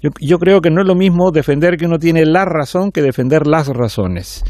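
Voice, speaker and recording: A man's voice: a little high, normal speed, darkish tone, no echo, studio quality. A man who teaches at a university, speaking reflectively as a guest on a panel discussion. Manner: reflective, lecturing.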